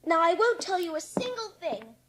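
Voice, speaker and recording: A woman's voice: extremely high pitch, sing-song, normal speed, fairly bright tone, heavily acted. A woman voicing a stiff, headstrong young girl, blunt and emphatic, in a dramatised audiobook. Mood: indignant, defiant. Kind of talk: dramatised audiobook character acting.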